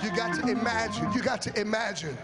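A man's voice: sits high, a little fast, over a noisy crowd. A middle-aged American man preaching a fervent, rhythmic and emphatic church sermon into a handheld microphone. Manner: rhythmic, emphatic, repeats phrases to rouse listeners. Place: large church hall, on stage at a podium. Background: live music.

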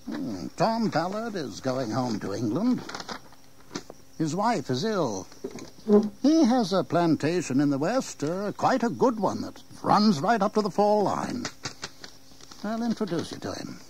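A pragmatic, evasive colonial official in a history radio play. His voice is high for a man and swings expressively as he declaims. Faint noise sits behind him. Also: sing-song, unhurried. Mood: assured. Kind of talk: dramatized audio history acting. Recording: 1980s audio drama with background noise.

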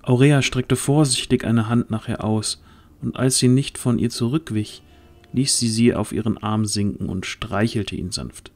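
A man's voice: medium pitch, fairly even intonation, unhurried, darkish tone, faint music behind. A man narrating a fantasy audio drama as a scripted storyteller.

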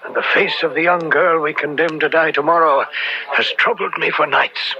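A man's voice: on the high side, fairly even intonation, moderate pace, close to an everyday voice. A man playing a conscience-stricken colonial judge in old radio drama style, formal and hesitant. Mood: troubled. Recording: muffled old radio recording, crowd behind.

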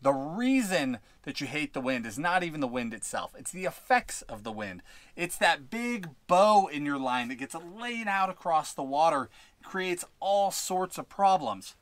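A man's voice: a little high, big pitch swings, moderate pace, a bit bright, no room sound. A young man giving fishing tips outdoors on a windy lakeshore, talking in an instructive, upbeat way into a head-mounted camera. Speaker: fishing vlogger. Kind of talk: outdoor tutorial vlog. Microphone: head-mounted action camera.

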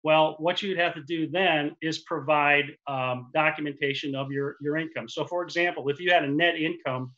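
Man in his forties, lender giving a webinar over a headset; forceful bright tone, restarts often, lo-fi call audio. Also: a little high, fairly even intonation, moderate pace, hardly any pauses. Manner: explanatory, with frequent restarts. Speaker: commercial lender. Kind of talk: webinar presentation. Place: office with printed backdrop. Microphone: headset boom mic on a video call.

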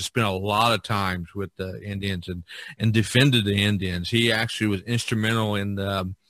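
A man hosting a podcast, chatting casually about his subject in a halting way, repeating words as he thinks aloud. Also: fairly low pitch, fairly bright tone, no echo. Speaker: podcast host. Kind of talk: casual discussion of art and history.

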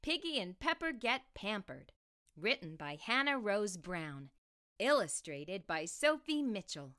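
A middle-aged woman reading aloud to young children as a storytime host, with expressive, deliberate delivery. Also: mid-range voice. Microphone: lapel mic.

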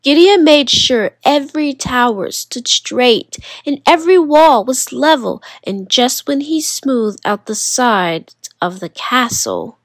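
A woman reads a children's picture book aloud in a slightly high, very animated voice. She is slow and deliberate, stressing single words for drama, and sounds close and dry. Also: no echo. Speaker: storybook narrator. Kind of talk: expressive children's read-aloud narration.

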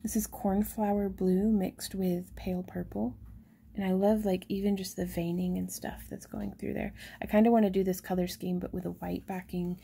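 A chatty woman, showing handmade stones in a casual shop update, talking softly and excitedly over the camera. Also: mid-range voice, normal speed, gravelly, slight background noise. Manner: chatty and excitable, drops into soft whispers over pretty stones. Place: indoors at a craft table.

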